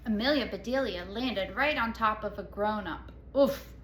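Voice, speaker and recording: Bright-voiced woman reading a picture book aloud. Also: mid-range voice. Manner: expressive, pausing to explain pictures. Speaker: storybook reader. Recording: echoey room, faint background noise.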